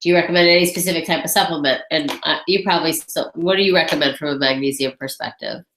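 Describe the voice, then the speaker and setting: Congested low-voiced woman hosting a webinar. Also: fast talker, a bit bright, echoey room, slight background noise. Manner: chatty, thinks aloud, reads out viewer questions. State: congested with a head cold. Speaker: webinar host. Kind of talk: casual Q&A on a webinar.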